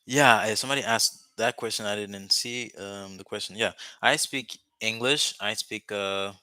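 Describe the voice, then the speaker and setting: A man from Haiti whose first language is Haitian Creole talks to his live-stream viewers in a loose, rambling way, full of ums and restarts. His voice sits low but sounds sharp and bright. The sound is close and dry, with no room echo. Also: moderate pace. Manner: rambling, many fillers and restarts, chatty with viewers. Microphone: webcam or phone mic, speaking to camera.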